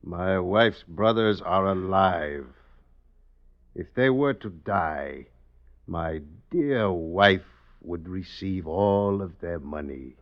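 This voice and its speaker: An actor playing a brooding, murderous husband in a radio drama, speaking in a terse, grave, deliberate way. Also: male voice, low-pitched, animated, full character voice. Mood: grim, bargaining. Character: brooding, murderous husband plotting against his in-laws. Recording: dull lo-fi radio recording.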